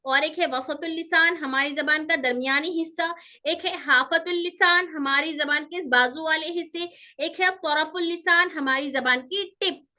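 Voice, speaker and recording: A woman's voice: high voice, moderate pace, pushed and bright. A woman teaching a pronunciation class, explaining step by step, repeating key terms and answering her own questions, with English terms mixed in. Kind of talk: online class lecture.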